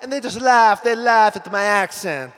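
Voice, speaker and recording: A man's voice: sits high, moderate pace, a bit bright. A man voicing a hungry, passionate Italian ghost, rambling theatrically in broken English with an Italian accent in an improvised comedy. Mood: plaintive. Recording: long reverb on the voice.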